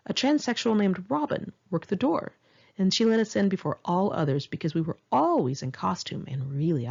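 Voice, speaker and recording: A woman's voice: lowish voice, darkish tone, slightly rough, dull audio. A woman narrating her own memoir as an audiobook, in a candid, conversational and wry way. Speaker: author reading own memoir.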